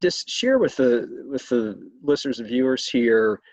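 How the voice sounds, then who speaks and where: A man conducting a conversational interview as host, speaking haltingly and often repeating his words. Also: mid-range voice, lively intonation. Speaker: state legislator hosting an interview. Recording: roomy, echoey, muffled video call sound.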